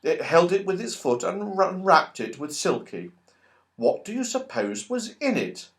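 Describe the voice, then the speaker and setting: Male storybook reader: higher-pitched, unhurried, highly expressive, playful. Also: gravelly. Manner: animated, playful, exclaiming at events. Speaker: audiobook reader. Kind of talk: expressive children's storybook narration.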